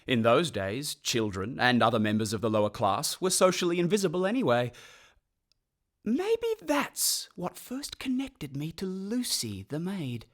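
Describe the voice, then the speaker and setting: A man's voice: on the high side, sing-song, a little slow. An actor playing a pompous, dryly ironic elderly male composer narrating his memories in a radio play. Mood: wistful, wry.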